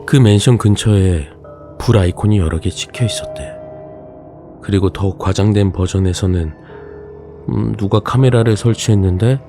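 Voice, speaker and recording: A man narrating a ghost story in a casual, slow and suspenseful way. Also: fairly low pitch, mellow.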